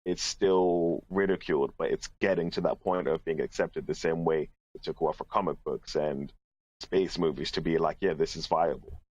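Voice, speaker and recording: A man with a very low voice takes part in a casual panel discussion. He argues his points earnestly, and the recording is dull and somewhat lo-fi. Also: steady tone, normal speed, darkish tone. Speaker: podcast panelist.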